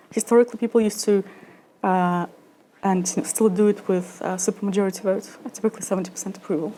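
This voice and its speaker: Woman, 30s, conference presenter, filler-heavy, average pitch. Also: mellow, a little hiss or hum. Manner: halting, many fillers and restarts. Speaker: conference speaker. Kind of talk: conference talk with audience Q&A. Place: lecture room at a conference.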